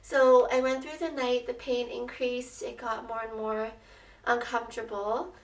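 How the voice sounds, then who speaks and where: Young woman, casual vlog storyteller, faint rasp, chatty and expressive, roomy echoing sound with a distant microphone. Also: on the high side, unhurried. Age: young adult. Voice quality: slightly raspy. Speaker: vlogger telling her birth story. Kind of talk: casual personal storytelling to camera.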